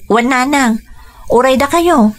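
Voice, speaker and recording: An actress as an earnest, higher-voiced young daughter. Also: female voice, measured pace. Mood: earnest, hopeful. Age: young adult. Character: young daughter, earnest, hopeful, respectful to parents. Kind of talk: Ilocano radio drama acting.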